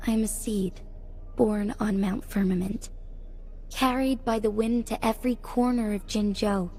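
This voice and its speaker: A young woman playing a resolute, devoted young leader in a video game, speaking in an earnest, measured, formal way. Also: mid-range voice, steady tone, mellow, close to an everyday voice. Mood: solemn resolve. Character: solemn young female leader, resolute, devoted to her guardian. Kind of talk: scripted video game voice acting. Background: music behind the voice.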